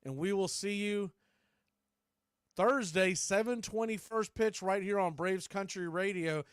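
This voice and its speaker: A man's voice: sits high, a little slow, clear, resonant tone, no room sound, clean studio sound. A man hosting a baseball fan show, giving casual, folksy postgame commentary. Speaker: host of a baseball fan radio stream.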